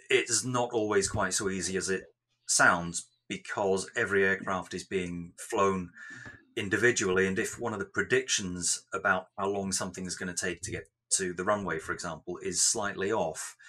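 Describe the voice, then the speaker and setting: A man explaining technical details in an interview, with measured, careful phrasing. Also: sits low, pushed and bright, husky. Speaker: software developer being interviewed.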